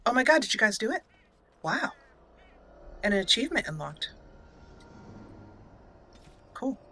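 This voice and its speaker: A woman giving casual, excitable commentary while playing a video game, talking to the characters as she goes. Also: a little high, a little slow. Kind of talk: casual let's play commentary.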